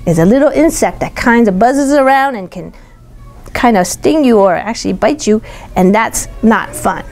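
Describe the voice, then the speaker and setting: A woman reading a picture book aloud to young children, pausing to ask questions and explain words simply. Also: on the high side, expressive, a little mellow, soft background music. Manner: explains simply, pointing things out. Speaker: storytime reader teaching young children.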